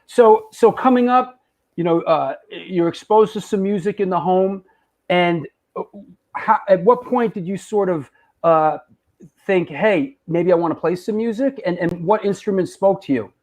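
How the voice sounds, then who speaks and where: A man's voice: high voice, unhurried, darkish tone. A man hosting a casual interview over a video call, asking questions and backing the guest with short replies. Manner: encouraging, lots of short backchannels. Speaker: podcast host.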